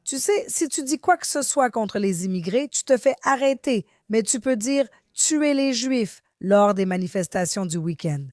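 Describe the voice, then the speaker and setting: A woman's voice: a little high, animated, normal speed, hardly any pauses, a little mellow, no echo, studio quality. A woman hosting an interview, asking pointed, leading questions. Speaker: interview host.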